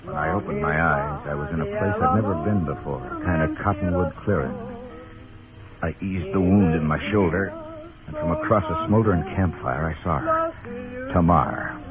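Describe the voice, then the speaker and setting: A hard-boiled frontier marshal, terse and sardonic, in a fairly low voice. It is an old radio Western with a thin, tinny sound and music clearly behind him. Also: male voice, very animated, normal speed. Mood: grim, weary. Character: hard-boiled, world-weary Western United States marshal. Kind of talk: noir radio drama narration and dialogue.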